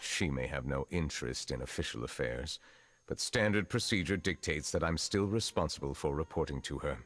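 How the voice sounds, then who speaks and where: An actor playing a reserved, centuries-old chief justice in a fantasy video game, speaking in measured, formal phrases. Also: male voice, very deep voice, fairly bright tone, dry recording. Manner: measured, formal, stately diction.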